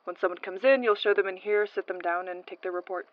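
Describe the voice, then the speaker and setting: A woman playing a brisk, businesslike archival transcriptionist in an audio drama, matter-of-fact and a little curt. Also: medium pitch, steady tone, no echo, phone-quality sound, close to an everyday voice. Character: brisk, businesslike female archival transcriptionist showing a newcomer around. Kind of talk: naturalistic audio drama acting.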